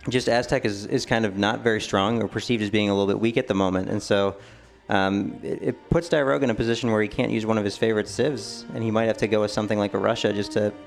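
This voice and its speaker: A man's voice: fairly low pitch, fairly even intonation, fast talker, gravelly, few pauses, faint music behind. A man co-casting a live esports match, giving thoughtful analysis with many ums and hedges. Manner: thoughtful, hedging with ums and maybes. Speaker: esports co-caster.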